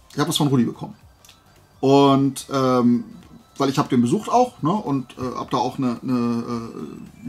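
A man chatting casually and unscripted to viewers as a video host, enthusiastic, colloquial and rambling. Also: mid-range voice. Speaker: video host showing collectible finds.

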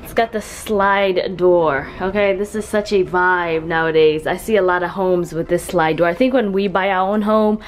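A woman's voice: fairly low pitch, steady tone, normal speed, hardly any pauses, slight background noise. A young woman filming a casual vlog, talking in a chatty, slangy way to viewers and her partner while walking through a hotel room with a handheld camera. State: voice raspy from just waking up. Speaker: vlogger.

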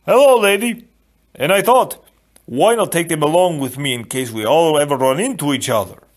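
A simple, anxious gentle giant, as a male narrator puts him on. The voice is pushed high and rambles along with naive, pleading earnestness. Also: expressive, moderate pace. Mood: sheepish, eager.

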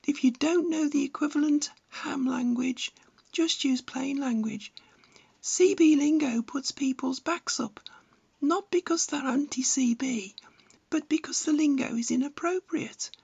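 A woman's voice: sits high, measured pace, vocal fry, darkish tone, highs cut off. A woman reading scripted instructional narration in a blunt, didactic manner. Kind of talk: scripted narration, tutorial with opinionated advice.

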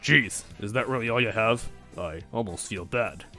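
A man voicing a huge, manipulative monster king in a story, with booming, slangy showmanship and a grumbling streak. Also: normal speed, sharp tone, soft background music. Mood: smug, haggling. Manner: casual slangy speech with swaggering showmanship.

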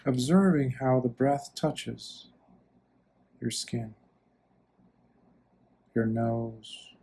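A man leading a guided meditation as a monk, speaking gently and soothingly in short phrases with long pauses. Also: mid-range voice, creaky, breathy edge.

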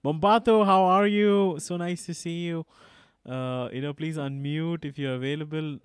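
A man hosting a podcast in an audio chat room, upbeat and quick to praise his guests. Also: on the high side, steady tone, clear, resonant tone, no echo, clean studio sound. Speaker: podcast host.

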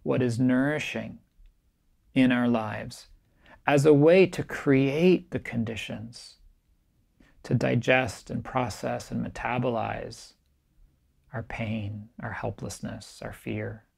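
A middle-aged man, a meditation teacher, giving a calm, reflective keynote talk to camera in a small, bright room. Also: mid-range voice, slow, gravelly, slightly rough. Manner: calm, reflective, gently emphatic. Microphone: camera framed at chest height, facing the speaker.

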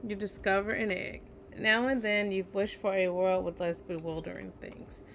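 A woman's voice: medium pitch, steady tone, normal speed, gravelly, a bit bright. A tired young woman streaming a video game, chatting casually and reading the game's text aloud. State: tired, yawning. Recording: home stream with faint game noise.

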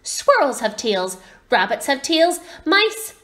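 Storybook baby owl boy played by a woman, high and animated, eager, inquisitive, quick to take offence. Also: heavily acted. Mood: indignant. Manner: earnest, inquisitive, easily huffy. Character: eager, naive young male baby barn owl.

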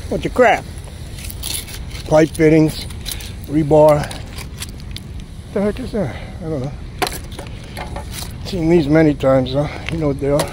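A man's voice: fairly high pitch, slow pace, long pauses. A man, chatting to viewers and exclaiming at each find as he magnet fishes at a city pond, recorded on a chest-mounted action camera. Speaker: magnet fishing vlogger. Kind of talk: casual running commentary while magnet fishing. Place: outdoors at a city pond edge. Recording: outdoor camera with loud wind and water noise.

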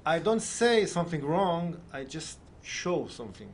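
A man's voice: on the high side, sing-song, measured pace, a crowd in the background. A man in his thirties from Israel with an Israeli accent, answering in conversational, non-native English as an interview guest. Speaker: film director, interview guest. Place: TV studio.

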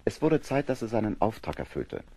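A man's voice: lowish voice, sing-song, brisk, dark tone, husky. A man reading scripted narration for an audio drama.

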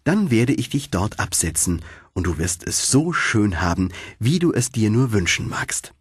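A man narrating a fairy tale in an expressive storytelling manner, as for an audiobook. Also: lowish voice, measured pace, husky, dry recording, clean studio sound.

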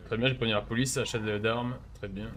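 A man's voice: on the low side, flat delivery, fast, a bit bright, slight background noise. A young man streaming a role-play game on a headset, swearing and mocking other players in casual commentary.

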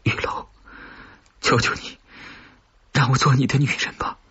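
Man putting on a breathy, obsessive lovesick woman. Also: medium pitch, level tone, a little slow, fairly bright tone, dull audio, voice pushed up. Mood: desperate, pleading. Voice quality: breathy, whispery. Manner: imploring, intense. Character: obsessive, lovesick, pleading woman who has killed.

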